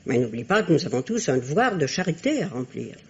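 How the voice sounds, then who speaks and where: A woman playing a stern but fond aristocratic old grandmother in a radio drama, speaking in a formal, admonishing, imperious way with a husky voice. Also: deep voice, sing-song, a little fast, a little mellow, full character voice. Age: older. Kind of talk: French radio drama acting. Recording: dull, muffled old radio recording.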